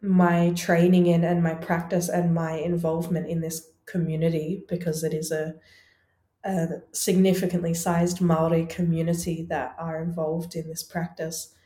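A movement and sound artist speaking in a reflective conversation over a video call, measured and searching, with frequent ums. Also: female voice, lowish voice, flat delivery, warm tone. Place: home in Melbourne. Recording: roomy video call audio.

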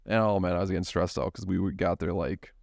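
A young man with a low, dry-sounding voice, casually telling a meandering story to friends. He laughs easily as he talks. The sound is close and dead, with no room around it. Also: steady tone, quick pace, vocal fry, a little mellow, no room sound. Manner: rambling storyteller, laughs often. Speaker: podcast co-host. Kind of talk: casual chat.

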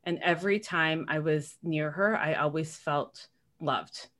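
A woman hosts a relaxed podcast chat in an earnest, warm way. She reminisces and draws her guest out with follow-up questions. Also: low voice, a little slow. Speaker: podcast host. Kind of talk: casual podcast conversation.

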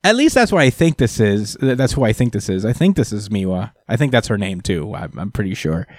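A man's voice: lowish voice, big pitch swings, fairly quick, a little mellow, no echo, clean studio sound. A man co-hosting a casual podcast, recapping a manga with lots of fillers and acted-out quotes. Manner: hesitant, lots of fillers, retells scenes with quoted lines. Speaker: podcast co-host.

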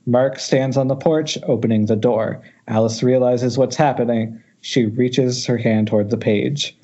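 A man's voice: medium pitch, steady tone, a little slow, warm tone, muffled recording. A man informally reading stage directions at a script table read over an internet call, with wry, jokey ad-libs.

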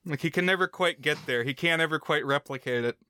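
A man's voice: on the high side, a little fast, sharp tone, clear, resonant tone, dry recording. An American man co-hosting a casual film discussion in a wry, articulate, explanatory way. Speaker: podcast co-host.

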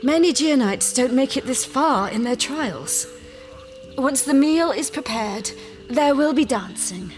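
An actress playing an ancient, dying alien great-grandmother in a sci-fi audio drama, grave and serene, with a deliberate delivery. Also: sits high, lively intonation, faint music behind. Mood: gentle. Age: older. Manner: slow, deliberate, solemn.

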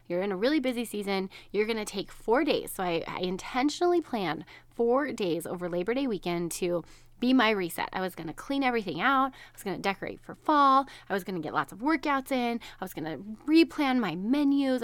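A woman's voice: mid-range voice, no echo. A woman in her thirties hosting a solo podcast, chatty and bubbly, laughing often, her voice nasal and stuffed up from allergies. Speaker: podcast host, registered dietitian. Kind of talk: casual solo podcast chat.

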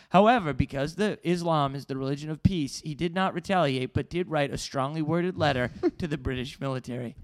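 A man co-hosting a comedy podcast, telling an improvised tall tale in a mock-serious tone and often laughing at his own lines. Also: on the high side. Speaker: podcast co-host. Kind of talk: improvised comic storytelling.

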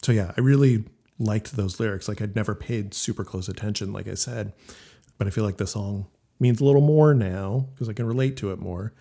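A man hosting a casual music podcast, talking loosely with lots of fillers and quick agreements. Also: lowish voice, creaky, mellow. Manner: laid-back, many fillers and quick agreements. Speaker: podcast host.

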